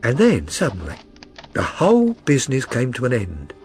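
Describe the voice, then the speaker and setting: A man's voice: medium pitch, wildly swooping pitch, normal speed, darkish tone, faint music behind, voice pushed down. A man voicing an earnest, plodding middle-aged tradesman in an audiobook, telling his story in a deferential, rambling way. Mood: aggrieved, bewildered. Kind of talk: audiobook character reading.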